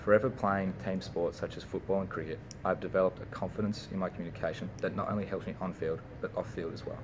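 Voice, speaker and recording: A man's voice: deep voice, steady tone, fast talker, background noise. A young Australian man, a university student, reading a prepared personal speech with small slips and restarts. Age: young adult.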